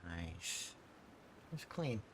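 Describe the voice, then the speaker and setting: A man's voice: low-pitched, big pitch swings, drawn-out words, noisy background. A young man giving casual, slangy live commentary as he streams a video game. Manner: laid-back, slangy. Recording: game music behind the voice.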